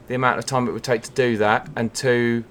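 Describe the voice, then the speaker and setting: Male podcast contributor, average pitch, wordy mock-grand delivery, dry comedic banter. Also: flat delivery, a little fast, a bit bright. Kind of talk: casual comedic chat.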